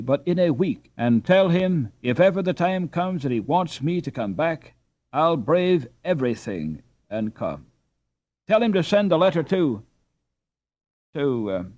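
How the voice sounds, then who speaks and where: A man voicing an injured, dazed Dutch husband in an audiobook, halting and groping for words as he strains to remember. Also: on the high side, big pitch swings, a little mellow, pitched above the performer's own voice. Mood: earnest, straining. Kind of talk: audiobook character dialogue.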